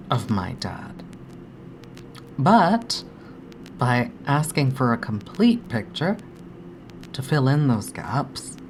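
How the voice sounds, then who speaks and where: A woman acting a grieving, haunted daughter in an audio drama monologue, speaking intimately and haltingly with hushed, breathy dips. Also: extremely low pitch, noisy background, exaggerated voice. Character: grieving adult daughter, anxious, haunted, longing for her dead father.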